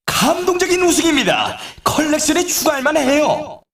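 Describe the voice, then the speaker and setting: An excitable video game snowboard announcer, high-pitched and swinging widely in intonation. He teases with formal politeness and playful sarcasm. The sound is echoey and set back from the mic. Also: moderate pace, recorded from a distance, heavily acted. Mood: excited, celebratory. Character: excitable, teasing male snowboard race announcer. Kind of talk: scripted game sports commentary.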